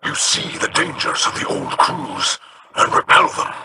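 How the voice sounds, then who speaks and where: An actor playing a stern, solemn old alien warrior captain who speaks formally and gives commands in a video game. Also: male voice, measured pace, noisy background, close to an everyday voice. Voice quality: gravelly, formal.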